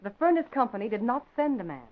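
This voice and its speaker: Earnest, high-voiced newspaperwoman. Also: big pitch swings, fast talker. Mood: grave. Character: earnest, businesslike female newspaper employee. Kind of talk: old-time radio drama acting. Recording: tinny, band-limited vintage radio recording.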